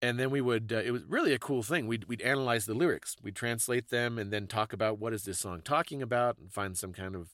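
A man's voice: medium pitch, fast talker, few pauses, a bit bright, dry recording, studio quality. A man hosting a podcast, explaining things in a casual, thoughtful conversational way. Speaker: podcast host.

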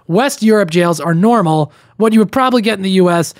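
Guy on a podcast reading emails out loud, kinda high voice, dry close mic. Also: expressive, brisk, dry recording. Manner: reads emails aloud with running commentary, frequent restarts. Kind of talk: casual podcast chat.

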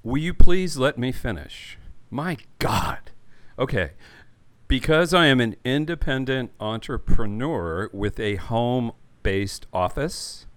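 Man, playful teasing co-host, smooth resonant voice, animated pitch. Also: slow. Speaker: podcast co-host. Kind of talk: casual chat.

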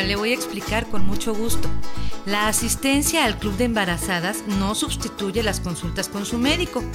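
A woman's voice: on the high side, lightly acted. Courteous helpline woman, acted, over music. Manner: courteous, formal. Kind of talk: scripted informational radio spot.

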